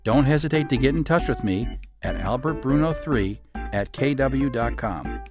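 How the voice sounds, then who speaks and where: A man's voice: medium pitch, sing-song, normal speed. A man giving a scripted promotional read as an announcer, with music under his voice. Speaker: announcer, real estate agent.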